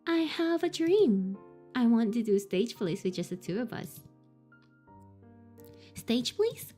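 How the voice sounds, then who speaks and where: Lady reading out clingy yandere girl from a visual novel, high voice that swings all over, pleading over and over. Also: sing-song, slow pace, mellow, soft background music, heavily acted. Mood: dreamy. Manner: pleading, repetitive questioning. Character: lonely young woman, obsessive yandere.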